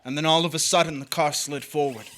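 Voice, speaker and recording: An actor playing an uneasy, guilt-ridden drifter in a noir stage drama, confessing his crime in a halting way. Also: fairly high pitch, fairly even intonation, heavy background noise, close to an everyday voice. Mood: grim, recounting. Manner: hesitant, halting in dialogue.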